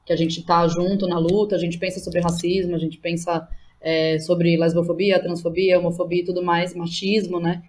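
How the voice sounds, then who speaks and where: A woman's voice: lowish voice, little pitch movement, moderate pace, a little mellow. A woman with a São Paulo Brazilian accent talking informally and slangily as a podcast guest, thinking aloud and often checking in with the listener. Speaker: interview guest, playwright and theatre educator. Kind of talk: casual podcast interview.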